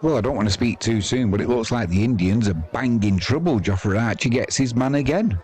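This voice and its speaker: A man's voice: mid-range voice, animated, fairly quick, darkish tone. A man giving casual live cricket commentary as host, with wry, jokey asides. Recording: crowd noise behind the voice.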